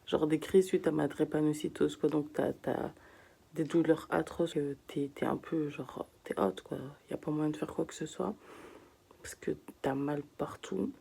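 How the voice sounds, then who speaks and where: A woman's voice: sits low, steady tone, moderate pace, gravelly, mellow, husky. A woman vlogging from her bed while sick and in pain, talking casually to her viewers, tired and sometimes dropping to a whisper. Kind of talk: casual vlog talk to camera. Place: at home in bed.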